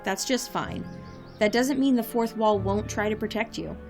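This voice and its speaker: A woman's voice: mid-range voice, lively intonation, moderate pace, over music, lightly acted. A woman playing a wry, quirky, commanding team leader in an audio drama. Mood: earnest.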